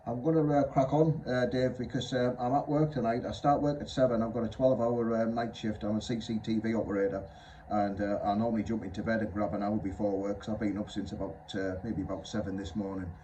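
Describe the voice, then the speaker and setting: A man's voice: on the low side, fairly even intonation, fast talker, dark tone. A man chatting politely and informally as an amateur radio operator during a two-way contact. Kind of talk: unscripted two-way radio chat. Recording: faint background hiss.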